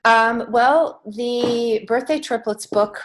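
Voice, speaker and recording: A woman's voice: on the high side, slow pace, rough recording. An American woman giving conversational interview answers as a podcast guest, speaking in an earnest, encouraging way. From United States. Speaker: podcast guest, children's book author and teacher.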